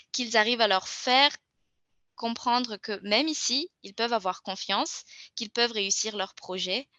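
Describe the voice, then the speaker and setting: A young woman from Madagascar speaks in a bright, forward voice. She talks earnestly and thoughtfully, pausing and restarting as she finds her words. The sound is dry and close. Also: medium pitch, no echo. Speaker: international student. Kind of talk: testimonial interview.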